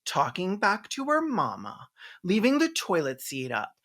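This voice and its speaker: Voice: medium pitch, huge pitch swings, measured pace, fairly bright tone, slight background noise. A commentator giving a comedic reaction to a movie in a sarcastic, campy, sweary way. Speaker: host.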